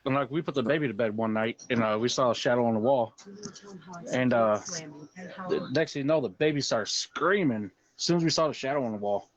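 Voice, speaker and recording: A man on a casual podcast recounts strange happenings at home. He tells it plainly and matter-of-factly. His voice sits at a middle pitch. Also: fairly quick. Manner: plain, matter-of-fact telling. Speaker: guest relating home hauntings.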